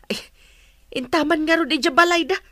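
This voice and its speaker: A woman's voice: extremely high pitch, brisk, a bit bright, no echo, studio quality. A woman acting an older, worried and meddling mother-in-law in a radio drama, with a Filipino accent. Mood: concerned.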